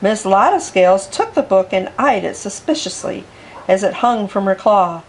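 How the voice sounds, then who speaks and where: A woman reading a children's picture book aloud for storytime, with gentle, expressive narration. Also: mid-range voice, moderate pace, vocal fry, a little hiss or hum. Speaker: storytime reader.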